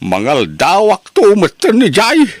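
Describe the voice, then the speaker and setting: Casting: male actor for scandalised elder village uncle, Ilocano accent, very lively melody, close dry sound, radio drama. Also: high voice, unhurried, no echo, heavily acted. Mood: disapproving. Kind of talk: amateur Ilocano radio-style drama acting.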